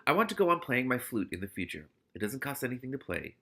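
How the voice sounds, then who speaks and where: A man's voice: mid-range voice, big pitch swings, fast talker, a bit bright, breathy edge, heavily acted. Man as placid, simple storybook boy. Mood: unbothered. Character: placid, simple, stupid-looking boy flute player.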